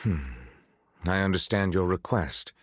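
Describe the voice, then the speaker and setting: An actor playing a dignified, solemn high official in a game, courteous and reserved, with a formal, deliberate delivery. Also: male voice, steady tone, no echo. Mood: grave, skeptical. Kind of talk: scripted game character dialogue.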